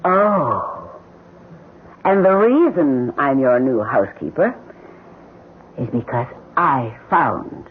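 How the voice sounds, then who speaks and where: An actress playing a shrewd, brazen blackmailing housekeeper in an old-time radio drama, brisk, sardonic and self-assured. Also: female voice, very deep voice, big pitch swings, full character voice. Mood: coolly confident. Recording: muffled, hissy vintage radio broadcast.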